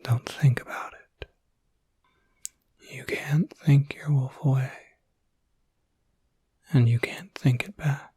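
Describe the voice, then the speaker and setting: A man playing a gentle, reassuring werewolf caretaker in an ASMR roleplay, speaking in a soft, breathy, soothing whisper with small hesitations, close to the mic. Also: medium pitch, steady tone, slow pace, pauses often, dry recording. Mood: gentle, encouraging.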